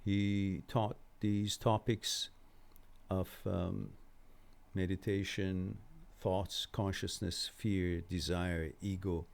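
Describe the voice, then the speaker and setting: A middle-aged man whose first language is Farsi, hosting an informal live question-and-answer lecture on mental health, explaining in a halting way with pauses. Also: deep voice, little pitch movement, vocal fry, a little mellow.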